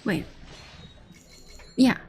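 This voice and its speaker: A woman's voice: extremely slow, noisy background. A woman game streamer giving casual gameplay commentary, rambling and repeating herself as she thinks aloud. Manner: rambling, repetitive self-talk.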